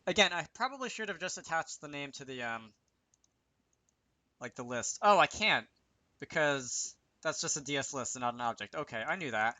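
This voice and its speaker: A man with a bright, crisp-edged voice talks through a coding tutorial in a casual way. He thinks aloud, mutters to himself and corrects himself as he goes. The sound is close and dry, with little room around the voice. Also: a little high, lively intonation, normal speed, no echo. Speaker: host of a game programming tutorial.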